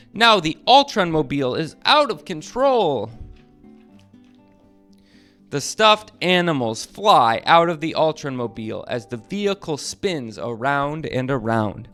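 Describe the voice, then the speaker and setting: Animated man reading a storybook. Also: fairly high pitch. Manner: expressive, dramatic emphasis. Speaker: storybook narrator. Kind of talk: animated children's read-aloud narration.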